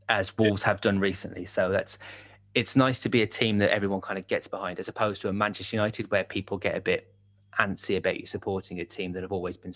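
Male podcast host, casual, friendly, low-ish pitch. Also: a bit bright. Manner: friendly, laughs easily. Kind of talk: casual chat.

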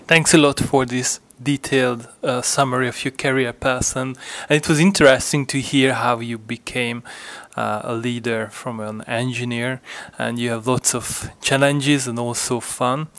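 Male interviewer, flat delivery, dry close recording, searching questions with restarts. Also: mid-range voice, normal speed, hardly any pauses, no room sound.

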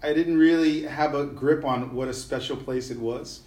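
A man's voice: medium pitch, steady tone, moderate pace, a little mellow. Laid-back New man in an echoey room. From New York City. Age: middle-aged. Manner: laid-back, rambling with slang and fillers. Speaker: podcast guest, musician. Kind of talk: casual conversational interview.

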